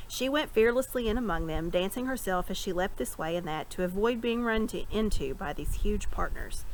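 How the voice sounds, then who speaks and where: Woman reading a novel aloud, noisy background. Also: mid-range voice. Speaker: storybook reader. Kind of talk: expressive read-aloud narration of a novel. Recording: clear background noise.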